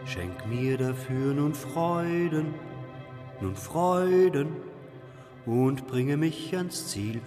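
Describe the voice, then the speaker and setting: A man's voice: on the high side, animated, extremely slow, a little mellow, faint music behind. A man singing a classical art song. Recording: long reverberant hall sound.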